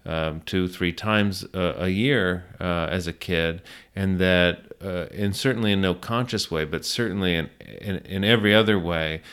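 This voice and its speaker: A man from South Florida with an American accent, telling stories in an interview in a halting way, repeating words. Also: low-pitched, a bit bright, clear, resonant tone. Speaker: business owner being interviewed. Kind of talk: conversational interview storytelling.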